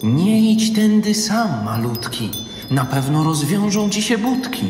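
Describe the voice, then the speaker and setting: A man's voice: high-pitched, sing-song, a little slow, a little mellow, soft background music. An actor playing a fussy, fretful male forest sprite in a children's audio play. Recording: echoey reverb.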